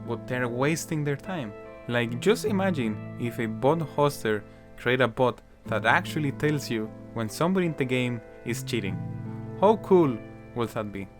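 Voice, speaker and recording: Casual chatty man, improvised commentary. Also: medium pitch. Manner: casual, chatty, frequent "you know" fillers. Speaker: gaming YouTuber. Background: faint guitar music.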